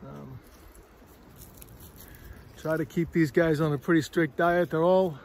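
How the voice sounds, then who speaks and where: A man's voice: fairly high pitch, steady tone, slow. A middle-aged man vlogging on a windy forest trail, filming himself with a phone at arm's length, a little out of breath and chatty. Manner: chatty, rambling, keeps asking "all right?". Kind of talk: casual walking vlog.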